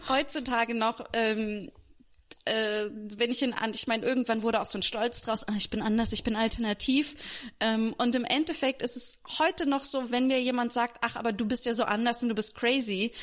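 A woman's voice: on the high side, fairly even intonation, moderate pace, fairly bright tone. A woman from Germany talking candidly and informally about herself in a podcast conversation, often breaking off and restarting. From Berlin and Hesse, Germany. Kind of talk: personal, confessional podcast conversation.

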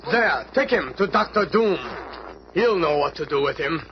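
An actor playing an excitable, servile villain's guard barking orders in a superhero radio serial. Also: male voice, a little high, moderate pace, lightly acted. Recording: muffled, noisy old radio recording.

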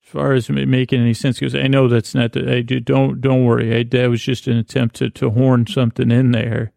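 Very creaky man, meandering podcast monologue. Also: medium pitch, flat delivery, a little mellow, no echo, clean studio sound. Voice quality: creaky, gravelly. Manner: halting, meandering, self-interrupting. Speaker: podcast host. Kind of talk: rambling comedic monologue for a sleep podcast.

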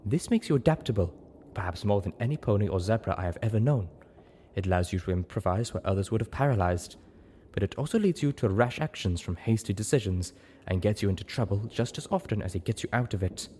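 A man voicing a calm, observant female zebra in an audiobook story, speaking frankly in measured, formal phrases. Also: on the low side, slight background noise, natural voice. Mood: cool, frank.

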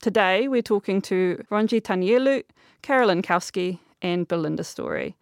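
A woman, moderating a panel discussion in a deliberate, articulate way. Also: mid-range voice, no room sound, clean studio sound.

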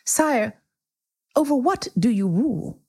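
A woman's voice: on the high side, animated, slow, creaky, darkish tone, slightly rough, voice pushed up, full character voice. A woman voicing a timid, polite little boy prince in a storybook, hesitant and curious. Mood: curious, awed. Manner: hesitant, deferential, questioning.

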